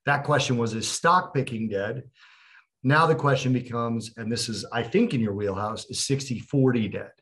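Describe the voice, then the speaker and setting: A man's voice: medium pitch, moderate pace, fairly bright tone. Rambling man asking long questions. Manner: rambling, explanatory, fillers. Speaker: podcast co-host. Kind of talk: casual interview questions. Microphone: video call.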